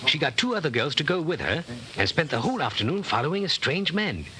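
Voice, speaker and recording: A man's voice: on the high side, big pitch swings, quick pace, pushed and bright. A man in a 1940s radio drama playing a jovial, dim, boastful loyalist father, hearty and blustering. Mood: hearty, proud. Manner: hearty, blustering, chuckling old-boy chumminess. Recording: noisy 1940s radio broadcast recording.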